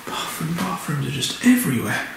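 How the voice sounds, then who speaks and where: A man's voice: on the high side, sing-song, normal speed, gravelly, over music. A man chatting casually and exclaiming at his surroundings as he narrates an exploring vlog on a handheld camera. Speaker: paranormal explorer, channel host. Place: indoors, walking through empty derelict building. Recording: echoey empty rooms, background noise.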